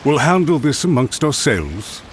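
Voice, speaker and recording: An actor playing a proud, scheming clan official in a video game, haughty, formal and emphatic. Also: male voice, medium pitch, big pitch swings, measured pace, slight background noise. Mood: accusing.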